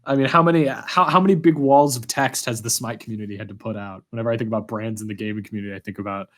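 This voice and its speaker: A man's voice: on the low side, racing, a bit bright. A man hosting a casual interview, speaking informally and reacting to what he hears. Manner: informal, reactive, with frequent short agreements. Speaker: podcast host, interviewer.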